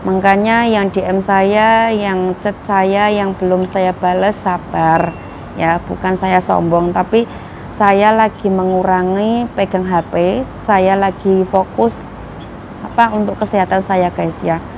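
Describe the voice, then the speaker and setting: Hoarse woman vlogger. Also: mid-range voice, steady tone, slow, darkish tone, slight background noise, low-quality recording. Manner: chatty, many fillers, addresses viewers as guys. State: hoarse from a cough. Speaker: vlogger giving news to migrant workers. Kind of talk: casual vlog chat. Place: outdoors in Taiwan.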